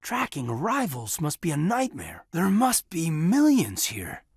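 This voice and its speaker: An actor playing an earnest, thoughtful military officer in a sci-fi video game, speaking sincerely and respectfully with a husky voice. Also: male voice, fairly high pitch, huge pitch swings, a little slow, no echo, studio quality, heavily acted. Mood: pondering. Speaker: video game character, soldier. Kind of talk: video game voice acting, conversational dialogue.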